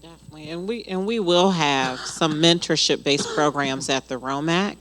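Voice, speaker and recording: A woman's voice: low-pitched, moderate pace, fairly bright tone. A woman hosting a panel discussion, affirming speakers and inviting audience questions. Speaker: host and moderator.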